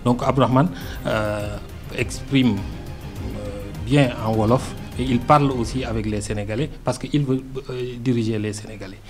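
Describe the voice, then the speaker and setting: A man's voice: medium pitch, fairly even intonation, moderate pace. Senegalese guy on a political radio show, french not his first language, lots of euhs and restarts, faint music underneath. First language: Arabic-educated, not a French native speaker. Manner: hesitant, many fillers and restarts, earnest. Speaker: political party delegate, radio guest.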